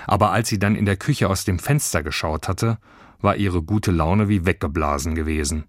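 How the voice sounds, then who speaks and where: A man's voice: low voice, moderate pace, no room sound. A man reading a children's story as a scripted narrator in a studio.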